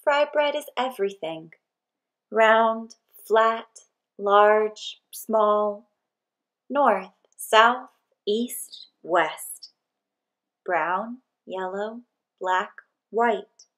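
A woman narrating a picture book aloud expressively, with deliberate phrasing and pauses between short phrases. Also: medium pitch, fairly bright tone.

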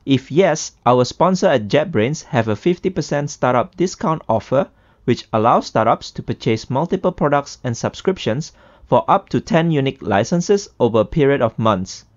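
A man's voice: medium pitch, animated, normal speed, no echo, highs cut off. Male podcast host, close dry sound. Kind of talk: scripted podcast introduction and interviewing.

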